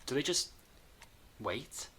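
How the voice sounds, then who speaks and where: A man's voice: takes it slowly, bright, forceful tone. A man playing an earnest, uneasy character who seeks reassurance in an audio drama, hesitant and questioning.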